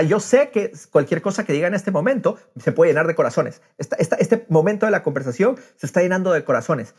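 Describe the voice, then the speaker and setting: A man with a slightly husky voice talking casually and emphatically as the host of a podcast. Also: fairly high pitch. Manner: animated, emphatic, opinionated. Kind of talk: casual conversational podcast talk.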